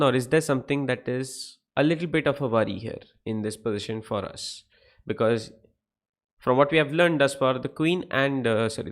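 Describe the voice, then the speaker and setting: Young indian english guy teaching chess on a stream, gravelly voice, keeps asking the chat stuff. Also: medium pitch. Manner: explanatory, asks viewers questions, greets chat by name. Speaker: chess coach. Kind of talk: live-streamed chess lesson.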